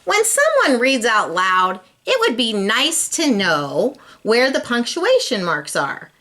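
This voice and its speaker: A woman voicing an orderly, good-humored female schoolteacher in a storybook, instructing in a deliberate way. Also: fairly high pitch, wildly swooping pitch, bright, forceful tone, pitched above the performer's own voice, heavily acted. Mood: firm.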